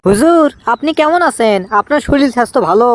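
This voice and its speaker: A man's voice: very high-pitched, animated, quick pace, no room sound. A man voicing an impatient, whiny young son desperate to marry, in comic cartoon dialogue with a Bogura accent from northern Bangladesh. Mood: polite. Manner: whiny, pleading, exaggerated.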